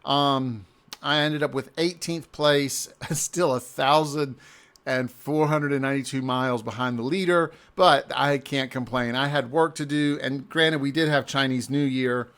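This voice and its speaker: A man, a native English speaker, casually walking through a screen-shared recap of a cycling event, often pausing and restarting mid-sentence. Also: medium pitch, a bit bright. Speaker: amateur cyclist reviewing an event.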